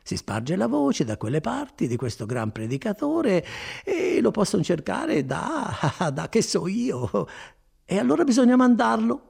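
A talkative, placid country friar as a man acts him out for a storybook, folksy and reassuring. His voice creaks and bobs up and down through long, unhurried explanations. He sounds untroubled by anything. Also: high voice, huge pitch swings, a little mellow, voice pushed up, full character voice. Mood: complacent, chatty. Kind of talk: dramatised audiobook reading.

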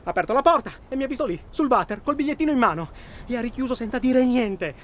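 A man's voice: extremely high pitch, animated, quick pace. A man acting in a radio drama as a well-spoken, self-absorbed hunting traveller who talks insistently and at length. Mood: agitated, confessing. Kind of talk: naturalistic radio drama dialogue. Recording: clear ambient noise behind.

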